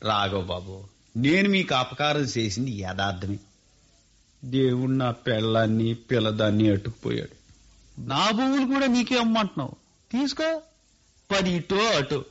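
An actor playing a shrewd, earthy middle-aged village landowner in a radio play, with colloquial coastal Andhra speech. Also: male voice, medium pitch, expressive, moderate pace, fairly bright tone. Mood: contrite, conceding. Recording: dull lo-fi radio recording.

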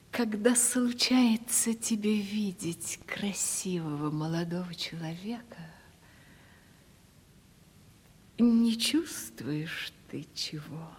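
A woman's voice: medium pitch, a little mellow, slightly rough. An actress playing a vain, coquettish ageing lady of a country estate in a Russian radio comedy, with a commanding, condescending theatrical manner. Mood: coaxing, insistent. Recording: reverberant studio radio play recording.

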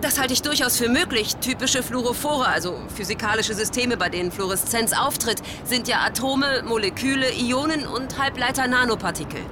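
A woman's voice: a little high, normal speed, few pauses, close to an everyday voice. Actress as a pedantic female biologist, noisy outdoors. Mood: detached, explanatory. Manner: pedantic, technical, unruffled. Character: dry, matter-of-fact German female biologist explaining a monster. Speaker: scientific expert reporting live. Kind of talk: scripted radio-drama expert interview. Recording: clear outdoor city background noise.

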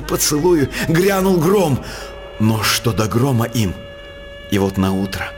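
A sly philosopher-jester of a royal court in radio theatre. The middle-pitched male voice tells things in insinuating, theatrical verse. Loud music runs behind it. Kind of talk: Russian theatrical radio drama acting.

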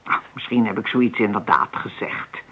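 A woman's voice: extremely low pitch, moderate pace, slightly rough, exaggerated voice. An actress playing a stern older farm mother in a radio drama, blunt and reproachful in manner. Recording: muffled narrow-band radio recording.

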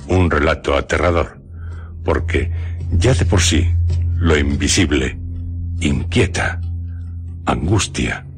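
A man with an extremely low voice narrates over music for a radio mystery show. His delivery is very slow and solemn, breaking phrases with suspenseful, theatrical pauses. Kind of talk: dramatic scripted narration for a radio mystery programme. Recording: music behind the voice. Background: background music.

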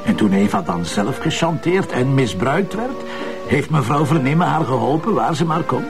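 A jovial Flemish police commissioner played by a man, teasing and informal. His voice is mid-pitched and distinctly creaky, with music playing behind the dialogue of a TV crime drama. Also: expressive, normal speed, lightly acted. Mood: teasing, explaining. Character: jovial, teasing police commissioner hosting dinner among colleagues. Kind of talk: naturalistic television crime drama acting.

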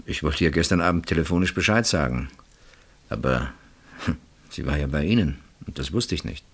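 An actor in a German radio play voicing a coolly ironic, probing husband with measured, drawn-out phrasing. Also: male voice, very deep voice, animated, heavily acted. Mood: controlled, measured.

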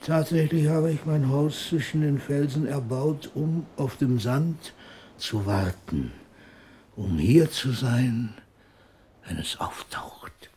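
An older man acting in a radio play as an ailing old poet, grave and lyrical, declaiming and murmuring in halting phrases. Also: mid-range voice, mellow, a little hiss or hum, natural voice. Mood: searching, hushed.

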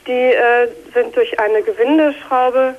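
A woman's voice: fairly high pitch, steady tone, slow pace. Thirtyish woman on a tinny phone line. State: has a cold, slightly scratchy voice. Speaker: phone-in caller. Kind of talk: explanatory storytelling. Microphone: telephone.

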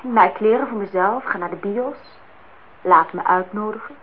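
A woman's voice: medium pitch, moderate pace, narrow, tinny audio, lightly acted. An actress playing a forthright, teasing young woman in a naturalistic radio drama, on a noisy old recording. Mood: breezy, assured. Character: cool, teasing, independent young woman visitor.

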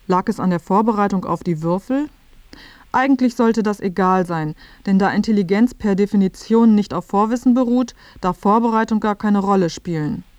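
A woman's voice: mid-range voice, normal speed, a little mellow, no room sound. A woman reading scripted narration for a radio feature.

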